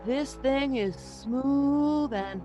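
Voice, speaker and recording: A woman plays a scientist in an old radio play, speaking slowly in a fairly high voice. The professor explains things deliberately, measured but puzzled, with clear music behind. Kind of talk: 1930s radio drama live reading.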